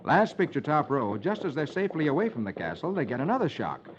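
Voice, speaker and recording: A man's voice: fairly high pitch, sing-song, fast talker, noisy background. An American man hosting a children's radio show, reading the funnies aloud in a theatrical, articulate 1950s storytelling style. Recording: muffled old radio recording, music behind.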